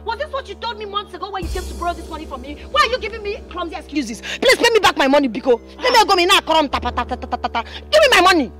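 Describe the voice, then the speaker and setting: A woman's voice: very high-pitched, expressive, very fast, hardly any pauses, sharp tone, faint music behind, exaggerated voice. A woman playing a proud, wronged mother in a film drama, with a Nigerian accent and an insistent, repetitive manner. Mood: angry, demanding.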